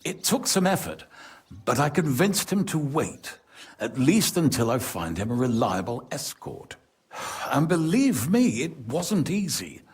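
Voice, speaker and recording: Worldly medieval nobleman for a game; rough husky voice, animated intonation, formal and worried. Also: fairly high pitch, unhurried, full character voice. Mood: grave, concerned. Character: worldly, anxious medieval lord seeking a favour. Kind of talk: video game cutscene acting.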